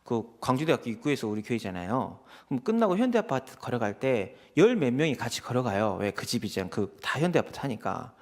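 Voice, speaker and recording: A male pastor from preaches in a fast, chatty way. His pitch swings widely as he tells personal stories and voices both sides of little exchanges, and his tone often drops into a creaky, gravelly edge. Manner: animated storytelling, acts out quoted exchanges. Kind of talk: conversational sermon with personal anecdotes.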